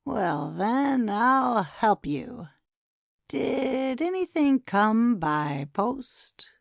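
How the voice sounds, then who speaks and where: A woman plays a cruel, gloating poisoner in a dramatic audiobook. The delivery is slow and taunting, gravelly with fry, and set close in a dry space. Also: fairly high pitch, very animated, no room sound, full character voice. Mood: taunting, insistent.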